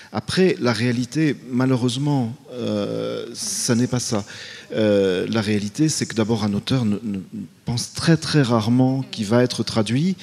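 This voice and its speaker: A man on a round-table panel speaks haltingly, repeating small words before landing on emphatic points. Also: medium pitch, vocal fry, a little mellow. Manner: hesitant, many repeated words, then emphatic. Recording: long hall reverb.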